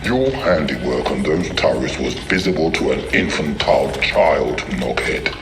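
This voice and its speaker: Very deep, cold crime boss. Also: male voice, lively intonation, music in the background. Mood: cold menace. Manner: formal, measured threats. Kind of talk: video game cutscene acting.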